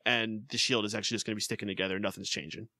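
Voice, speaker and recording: A man's voice: fairly low pitch, fairly even intonation, rapid-fire, pushed and bright, no room sound. A man co-hosting a podcast, chatting casually through a recap of a wrestling show and laughing often. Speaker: podcast co-host.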